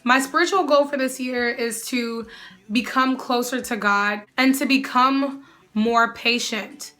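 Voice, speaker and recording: A sassy young woman chatting straight to camera with a bright, ringing tone. She sits a little high in her range and keeps the banter lively as she answers viewer questions. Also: unhurried. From United States. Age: young adult. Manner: sassy, animated, often calls the viewer "girl". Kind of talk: casual Q&A vlog.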